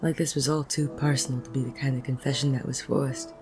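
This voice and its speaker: An actor playing a world-weary, conflicted male detective in a noir audio drama, deadpan and terse. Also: medium pitch, fairly quick, music in the background, lightly acted. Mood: brooding, weary. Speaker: narrator and lead actor. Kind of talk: noir audio drama acting with first-person narration.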